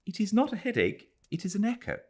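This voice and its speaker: A man's voice: sits high, wildly swooping pitch, quick pace, darkish tone, voice pushed up, heavily acted. A man voicing an anxious, strained young Englishwoman who forms each word carefully. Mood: fragile. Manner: precise, careful syllables.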